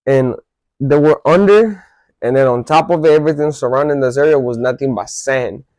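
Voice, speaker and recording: A man's voice: mid-range voice, moderate pace, a little mellow, dry recording. A man from El Salvador, telling his life story to his viewers in a loose, conversational way with false starts and self-corrections. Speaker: vlogger. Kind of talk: casual storytelling to camera.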